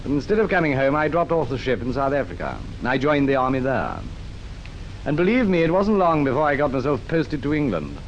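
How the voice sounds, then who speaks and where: A man's voice: very animated, a little fast, highs cut off, close to an everyday voice. An actor playing a cocky, charming conman officer in an old radio drama, talking in a breezy, boastful way. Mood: breezy reminiscing. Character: cocky, charming male conman officer recalling his swindles. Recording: old radio recording, faint noisy rumble.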